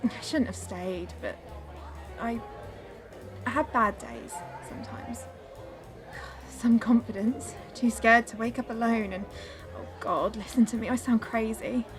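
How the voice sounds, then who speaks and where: A fairly high-pitched woman in a radio drama, played by an actress, teasing and flirty on the surface but confessional and insecure underneath. Music plays clearly behind the voice. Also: measured pace, long pauses, lightly acted. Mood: anxious, apologetic.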